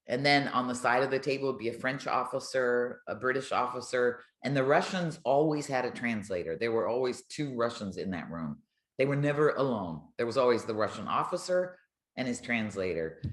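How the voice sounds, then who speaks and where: A woman's voice: a bass voice, echoey room. An older woman, chatting and telling stories as an interview guest in a colloquial way. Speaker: retired air traffic controller, podcast guest. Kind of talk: casual storytelling in an interview.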